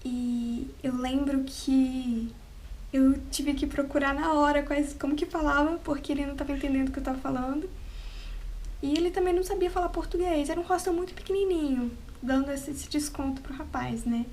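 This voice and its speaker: A woman's voice: sits high, steady tone, a little fast, a little mellow, slight background noise. A woman telling a travel story to the camera in an unscripted, chatty way, often laughing and tagging on "né". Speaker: travel vlogger. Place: at home, with cats nearby.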